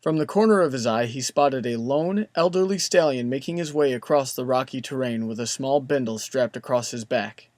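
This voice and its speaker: A man's voice: mid-range voice, normal speed, hardly any pauses, a little mellow, no echo. A man reading scripted story narration as the narrator of an audiobook. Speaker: narrator, fan fiction reader. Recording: clearly noisy background ambience.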